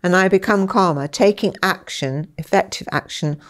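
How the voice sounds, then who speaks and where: An older woman being interviewed in a small study, explaining her work with children in an animated way and acting out little dialogues. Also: fairly low pitch, moderate pace. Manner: animated, gestures a lot, acts out dialogue. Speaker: interviewee, former school head. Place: small study with bookshelves, indoors.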